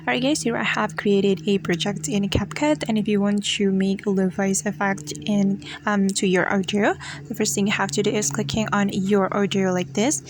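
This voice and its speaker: A woman's voice: mid-range voice, steady tone, normal speed. Woman narrating a tutorial over background noise. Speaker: tutorial host. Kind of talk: casual step-by-step app tutorial narration.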